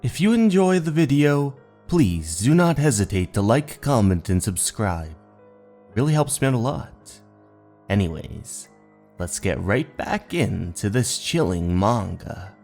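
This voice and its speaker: Male horror story narrator and host, very unhurried, theatrical, animated intonation, music bed beneath. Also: fairly low pitch. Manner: dramatic, drawn-out storytelling delivery. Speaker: narrator and host of manga readings. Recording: music under the voice. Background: background music.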